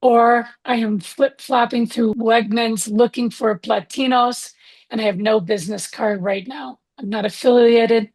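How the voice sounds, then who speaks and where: A woman's voice: fairly high pitch, fairly even intonation, unhurried, darkish tone, poor audio. A woman hosting an interview, affirming and encouraging her guest as she leads the conversation. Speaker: interview host and author.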